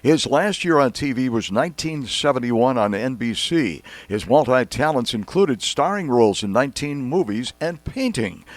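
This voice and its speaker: A man's voice: mid-range voice, no echo. A man reading a scripted introduction as a podcast host.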